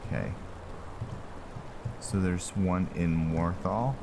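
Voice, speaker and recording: Very deep, slow-talking male gamer. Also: vocal fry, background noise. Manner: laid-back, thinking aloud. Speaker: gaming host, roleplaying player. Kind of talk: casual let's-play commentary.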